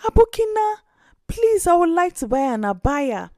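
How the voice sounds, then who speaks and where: A woman's voice: extremely high pitch, moderate pace, no room sound, studio quality, pitched above the performer's own voice. A woman voicing an eager, pleading little orphan girl in a storybook tale. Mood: pleading, insistent. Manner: pleading, excited. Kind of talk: storybook character voice.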